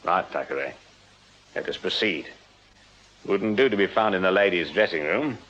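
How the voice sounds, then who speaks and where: An actor playing an unyielding Victorian police sergeant in a radio drama, English-accented, brisk, authoritative and dryly sardonic. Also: male voice, sits low, animated, a bit bright, highs cut off, slight background noise. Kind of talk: full-cast period radio drama acting.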